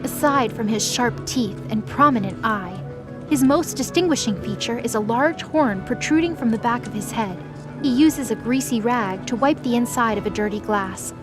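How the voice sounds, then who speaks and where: A woman's voice: fairly high pitch, normal speed. A woman reading scripted story narration for a fantasy audio drama. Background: music.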